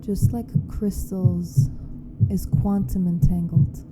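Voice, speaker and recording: A woman leading a guided meditation in a hushed, deliberate manner with long pauses. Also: steady tone. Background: meditation music with a low hum.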